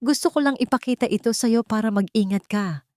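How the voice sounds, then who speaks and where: A woman's voice: fairly high pitch, brisk, a little mellow, no echo, clean studio sound, pitched above the performer's own voice, natural voice. A woman voicing a two-faced senior housemaid in a story, an aunt figure who admonishes firmly. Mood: firm warning.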